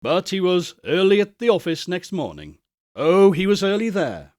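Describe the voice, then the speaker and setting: A man reads a classic story aloud as narrator, with expressive literary delivery. His pitch is slightly high and moves around a great deal, and the recording is close and dry. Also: normal speed, no room sound.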